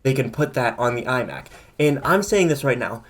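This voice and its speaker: A man's voice: mid-range voice, animated, moderate pace. A man co-hosting a casual tech podcast, opinionated and rambling as he thinks aloud. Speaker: podcast co-host.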